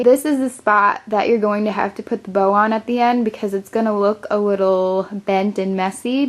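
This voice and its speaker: A young woman hosting a craft tutorial, explaining each step in a chatty, upbeat way while she is losing her voice. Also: medium pitch. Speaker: craft channel host.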